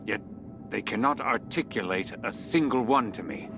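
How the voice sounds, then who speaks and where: An actor playing an obsessed researcher sliding into messianic madness in a video game audio log, speaking haltingly and broodingly, at times breaking into whispers or cries. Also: male voice, lowish voice, lively intonation. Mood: weary, brooding. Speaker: expedition leader recording audio logs. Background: background music.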